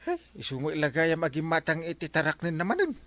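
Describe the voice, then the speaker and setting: An actor with an Ilocano accent playing a blustery but easily placated village captain in a radio comedy drama. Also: male voice, on the high side, huge pitch swings, fast talker, a bit bright, no room sound. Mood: chatty.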